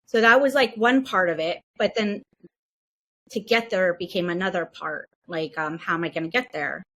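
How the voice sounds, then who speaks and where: A woman's voice: fairly low pitch, moderate pace, a bit bright. A woman on a podcast, casually recounting a trip in a rambling way with many fillers and restarts. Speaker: podcast guest. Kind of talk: casual storytelling.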